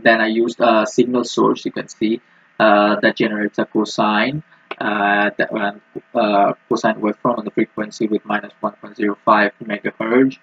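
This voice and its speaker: A man giving a technical walkthrough as a student presenter, speaking in a halting, hesitant way. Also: lowish voice, fairly bright tone, breathy edge. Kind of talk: technical screen-recording walkthrough. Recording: muffled, roomy lo-fi microphone.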